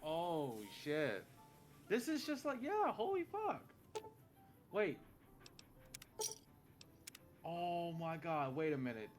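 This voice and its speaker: A man in casual gaming chat, excitable, amazed and sweary, full of slang. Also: fairly high pitch, slow, pauses often, soft background music. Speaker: gamer reacting to gameplay.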